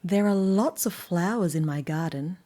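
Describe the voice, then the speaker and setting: A woman with an Australian accent voicing a friendly, proud young male storybook character, speaking slowly and deliberately for a children's read-aloud. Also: on the low side, dark tone, close to an everyday voice. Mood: proud, happy.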